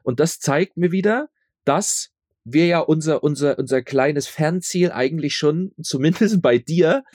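A man's voice: a little high, a little slow, a little mellow, no echo, studio quality. A young man who works as an audio drama maker, chatting casually in an interview and often repeating his words for emphasis. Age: young adult.

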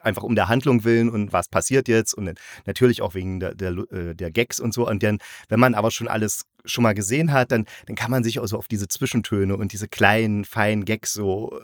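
A man's voice: fairly low pitch, fairly quick, few pauses, no room sound, clean studio sound. A man hosting a review podcast, chatting casually with a guest and explaining things as he goes. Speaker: host of an audio drama review podcast.

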